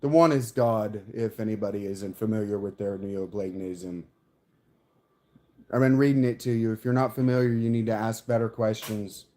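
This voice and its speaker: A man playing a game and chatting casually and wryly, his voice going hoarse from smoke. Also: on the low side, normal speed, mellow. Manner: wry, offhand, drifts into singing. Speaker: game streamer. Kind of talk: casual gameplay commentary.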